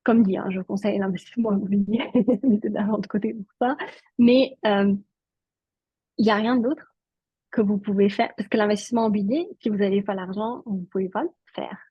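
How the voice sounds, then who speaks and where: A woman's voice: medium pitch, steady tone, brisk, slightly rough, dry recording, lo-fi sound. A woman from Switzerland whose first language is Italian, speaking as an interview guest in a chatty way, with many filler words. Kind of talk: casual interview talk.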